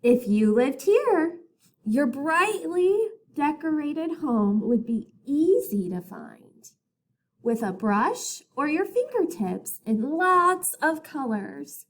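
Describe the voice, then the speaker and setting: A woman reading a picture book aloud as a teacher to children, in a deliberate, expressive storytelling manner. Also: high voice.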